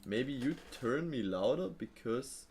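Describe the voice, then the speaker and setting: A man's voice: mid-range voice, expressive, takes it slowly, darkish tone, slight background noise. A man hosting a live trading stream, chatting casually with viewers and thinking aloud with fillers and easy laughs.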